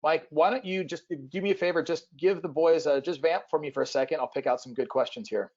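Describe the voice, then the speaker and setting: A man's voice: a little high, fast talker, a little mellow. A man casually moderating a live question-and-answer panel as its host. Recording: muffled lo-fi online call.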